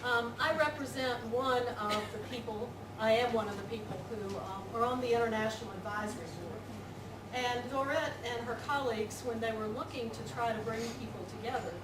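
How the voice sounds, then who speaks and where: Southern woman giving an earnest conference talk, kinda high voice, heard from far off in an echoey hall. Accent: Southern American. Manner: earnest, measured, emphatic repetition. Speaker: conference speaker. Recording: echoey hall, distant mic, loud noise.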